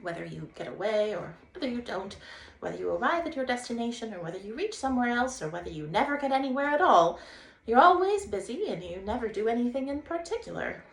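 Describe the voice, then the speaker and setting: Roomy sound, woman acting cheerful male water rat, raised pitch, hearty and emphatic, dreamy boat lover, children's storybook. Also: very animated, fast talker, creaky. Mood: carefree, rhapsodic. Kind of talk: children's storybook read-aloud. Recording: roomy echo, faint background noise.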